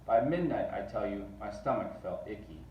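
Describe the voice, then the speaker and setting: A man reading a children's storybook aloud in rhythmic verse with animated delivery. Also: on the low side, moderate pace, highs cut off. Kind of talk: expressive storybook read-aloud. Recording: distant mic, roomy echo, faint noise.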